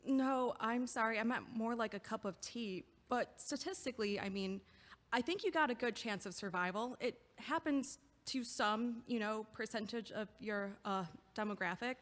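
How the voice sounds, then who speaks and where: A cheerful, chatty neighbor with an easygoing, caring manner, as an actress plays her. Her voice sits fairly high in this audio drama. A long reverb trails after her lines. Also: moderate pace, fairly bright tone, natural voice. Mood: friendly. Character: friendly female neighbor, a cheerful chatty physical therapist.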